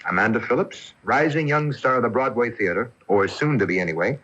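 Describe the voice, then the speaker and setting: An actor playing a breezy, friendly suitor with high hopes in a radio drama. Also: male voice, lively intonation, brisk, a bit bright, husky. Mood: breezy, hopeful. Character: smooth-talking hopeful suitor, friendly and breezy. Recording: dull lo-fi radio broadcast tape.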